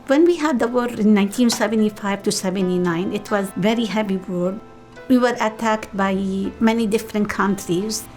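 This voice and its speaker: A woman's voice: mid-range voice, moderate pace, darkish tone, soft background music. A middle-aged woman from Lebanon with a Lebanese accent, Arabic her first language, speaking in an interview in simple, uneven English. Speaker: documentary interviewee.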